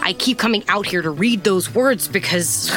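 An actress playing a wry, rattled small-town private detective who narrates in a conversational, casually profane way in an audio drama. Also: female voice, medium pitch, normal speed, natural voice. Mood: wry, bemused. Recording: music under the voice.

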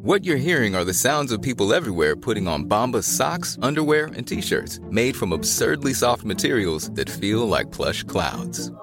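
A man's voice: mid-range voice, sing-song, normal speed, hardly any pauses. A man reading an advertisement in a playful, casual way. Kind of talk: radio ad read. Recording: music bed under the voice.

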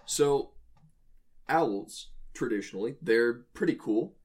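A man's voice: mid-range voice, lively intonation, takes it slowly, a little mellow, husky. A man co-hosting a casual speculative discussion, explaining his ideas with enthusiasm as he reasons aloud. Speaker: podcast co-host.

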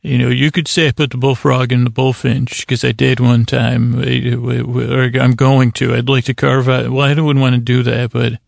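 A man hosts a bedtime podcast in a creaky, fry-laden voice that barely rises or falls. He meanders, trips over words and interrupts himself, keeping a lulling, soothing tone. The sound is dry, with no room around it. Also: mid-range voice, little pitch movement, moderate pace, few pauses, dry recording. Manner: meandering, stammering, self-interrupting. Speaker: podcast host. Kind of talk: rambling bedtime monologue.

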